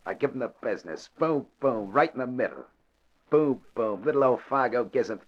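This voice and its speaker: A man's voice: medium pitch, animated, moderate pace, lightly acted. An actor playing a cruel, taunting thug guard in a 1940s radio drama, gloating tough-guy talk. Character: sneering thug male guard who enjoys cruelty. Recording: dull lo-fi old radio recording.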